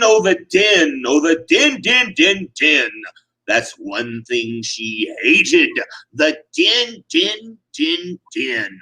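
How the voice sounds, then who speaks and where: A man's voice: a little high, pushed and bright, breathy edge, rough recording. A man narrating rhyming comic verse live, in a theatrical, emphatic, sing-song manner. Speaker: performer, narrator.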